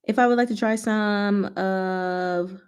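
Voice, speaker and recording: A woman hosting a casual, rambling livestream chat with viewers, talking in an expressive, playful way. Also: mid-range voice, slow, smooth. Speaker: livestream host answering chat.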